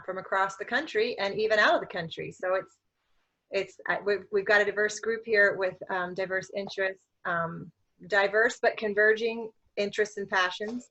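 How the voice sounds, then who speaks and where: A woman in her forties hosts a casual podcast chat with a crisp, bright voice. She talks in a relaxed, chatty way, laughing easily between thoughts. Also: medium pitch. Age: 40s. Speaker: podcast host.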